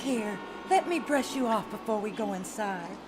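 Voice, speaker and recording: A woman's voice: medium pitch, lively intonation, normal speed, over loud music, lightly acted. A woman playing an anguished, protective caretaker of a grieving little girl in an old-time radio drama, earnest and emphatic. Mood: tender.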